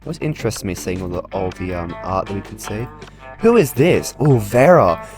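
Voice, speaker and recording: A man's voice: on the low side, sing-song, moderate pace, soft background music. A man doing casual, chatty let's play commentary in a playful way, reading game text aloud as he goes. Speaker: gaming channel host.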